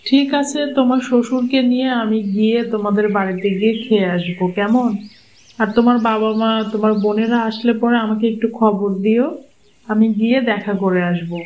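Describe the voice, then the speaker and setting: High, mild older village mother-in-law played by a man. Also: fairly quick, darkish tone, muffled recording, lightly acted. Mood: agreeable. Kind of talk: cartoon moral-story voice acting.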